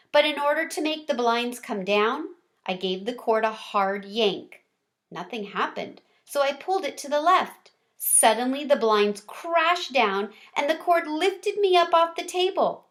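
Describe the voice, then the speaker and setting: A woman storyteller reading a children's chapter book aloud in an expressive way, stressing key words. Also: high-pitched.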